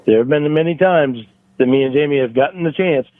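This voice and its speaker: A man's voice: on the high side, no room sound. Defensive man on a tinny phone line. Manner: defensive, halting, repeats himself. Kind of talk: phone argument. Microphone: phone call.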